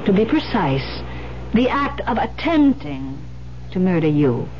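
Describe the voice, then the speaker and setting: A woman's voice: lowish voice, big pitch swings, unhurried. A woman playing an urgent, persuasive but guarded police detective in an old-time radio drama. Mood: explaining, firm. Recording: muffled, noisy old radio broadcast recording.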